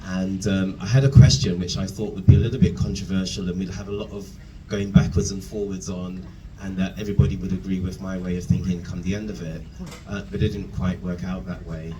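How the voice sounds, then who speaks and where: Man, low voice, measured mentor, distant mic, audible room noise. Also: level tone. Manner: measured, with filler ums. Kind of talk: reflective talk to an audience. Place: room with an audience.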